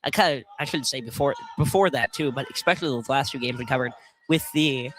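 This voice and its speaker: A man's voice: mid-range voice, quick pace, a bit bright, a little hiss or hum, rough recording. A man giving conversational color commentary on a live basketball game. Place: college field house during a game.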